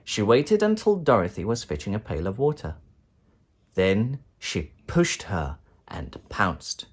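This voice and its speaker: A man narrating a children's storybook in an expressive, dramatic way. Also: lowish voice, a little slow, breathy edge. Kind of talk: children's storybook read-aloud.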